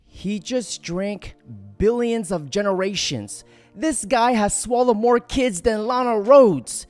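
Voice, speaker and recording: A man's voice: sits high, slow, a little mellow, dry recording, soft background music. A man doing crude, sarcastic commentary over viral clips, delivering punchlines from a home studio on a headset mic. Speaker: reaction commentator.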